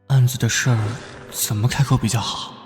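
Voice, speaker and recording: A man playing an earnest young police detective in an animated drama, serious and brooding. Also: mid-range voice, a little fast, loud music behind the voice, lightly acted. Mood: pensive.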